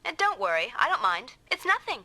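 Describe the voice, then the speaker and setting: A woman's voice: high-pitched, very animated, brisk, very noisy. A woman voicing a touchy, sulky young girl in an anime-style video game dub. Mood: subdued. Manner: sulky, petulant. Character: pouty, touchy young girl riding along as passenger.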